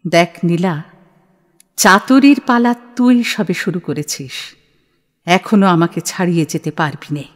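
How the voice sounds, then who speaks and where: A woman's voice: fairly low pitch, measured pace, long pauses, close to an everyday voice. A woman playing a stern, shrewd middle-aged mother in an audio play, cutting and authoritative. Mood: commanding, scornful. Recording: long hall-like reverb.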